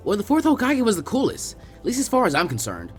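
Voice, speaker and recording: A man voicing a brash, excitable young boy ninja in a fan fiction reading, blunt and cheeky with eager questions. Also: high-pitched, fast, soft background music, voice pushed up. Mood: curious, eager.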